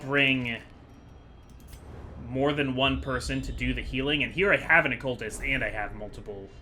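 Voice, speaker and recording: A man's voice: mid-range voice, moderate pace, pushed and bright, a little hiss or hum. A man giving casual, rambling commentary as the host of a gaming video, chatty and digressive as he thinks aloud.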